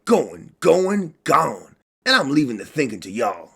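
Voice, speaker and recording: A man voicing a brash, impatient, foul-mouthed male adventurer with loud, slangy delivery in a comedic game parody. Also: medium pitch, sing-song, measured pace, vocal fry, slightly rough, full character voice.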